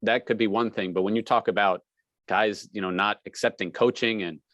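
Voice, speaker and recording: Deep-voiced reporter, dry close sound. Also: male voice, steady tone, fairly quick, a bit bright, no echo. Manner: friendly, rambling questions with laughs. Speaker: sports reporter. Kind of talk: press conference question.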